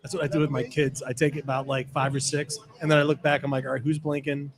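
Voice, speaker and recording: A man's voice: fairly high pitch, flat delivery, quick pace, gravelly. A man chatting casually and joking around in group banter.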